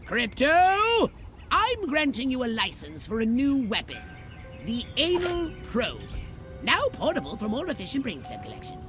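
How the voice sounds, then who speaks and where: A man's voice: very high-pitched, huge pitch swings, normal speed, heavily acted. A man voicing a scheming, pompous alien commander in a video game, with theatrical, megalomaniacal delivery. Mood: officious. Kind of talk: video game cutscene acting. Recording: loud music behind the voice.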